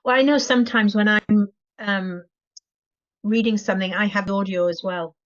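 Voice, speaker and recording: A woman talks on a coaching call, hesitant and full of "um". Her voice comes through dull and lo-fi. She sits at a middling pitch for a woman. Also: fairly even intonation, moderate pace. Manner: hesitant, short replies with fillers. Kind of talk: casual chat. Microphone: video call. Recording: dull, lo-fi video call audio.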